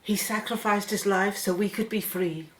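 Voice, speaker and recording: An actress playing an earnest, shaken scientist in a radio drama. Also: female voice, mid-range voice, moderate pace, lightly acted. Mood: sombre. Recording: echoey room.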